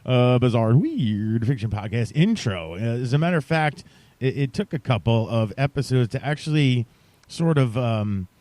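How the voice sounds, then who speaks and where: A man's voice: medium pitch, animated, moderate pace, mellow, dry recording. A man hosting a podcast, talking casually to his listeners in a chatty, playfully emphatic way. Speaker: podcast host.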